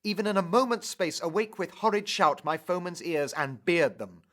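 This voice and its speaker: A man's voice: high-pitched, lively intonation, normal speed, a bit bright, pitched above the performer's own voice. A man playing an ardent, lovestruck young knight with impassioned archaic speech in a dramatic audio poem. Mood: impassioned, vowing. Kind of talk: dramatic poetry recital.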